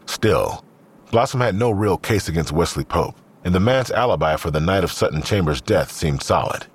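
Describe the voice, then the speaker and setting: A man's voice: low-pitched, expressive, moderate pace, breathy edge. A man reading scripted narration for an audio drama.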